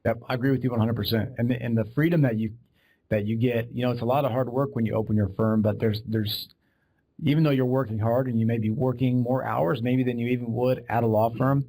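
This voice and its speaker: Mellow-voiced male podcast host. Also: medium pitch, fairly even intonation, fast, low-quality recording. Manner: agreeable, conversational, repeats words. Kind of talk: casual interview talk.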